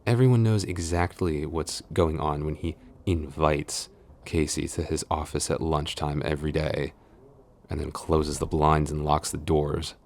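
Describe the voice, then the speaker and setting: A man's voice: extremely low pitch, steady tone, a little slow, a little mellow. A man playing a flustered tsundere boyfriend and coworker, grumbling but smitten, in an intimate, hesitant, mock-scolding ASMR audio roleplay. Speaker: voice actor.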